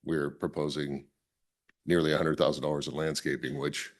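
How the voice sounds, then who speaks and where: A man with an extremely low voice, raspy and worn after a long weekend. He speaks at a public planning meeting, explaining his project and often restarting his sentences. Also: level tone, normal speed. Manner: self-correcting, restarts often. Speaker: applicant presenting a site plan.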